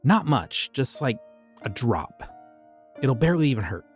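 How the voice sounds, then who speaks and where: A man's voice: mid-range voice, sing-song, unhurried, soft background music. A man playing an offhand, creepy occult shopkeeper. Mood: easygoing. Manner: casual, easygoing and offhand about dark things. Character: friendly but creepy male occult shopkeeper, young adult. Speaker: voice actor. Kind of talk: ASMR audio roleplay.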